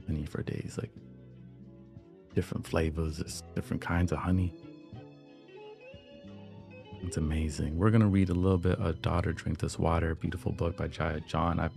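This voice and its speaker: A slightly hoarse man hosting a livestream, chatting in a relaxed, reflective, slangy way over soft background music. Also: extremely low pitch. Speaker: podcast and livestream host. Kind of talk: casual livestream chat.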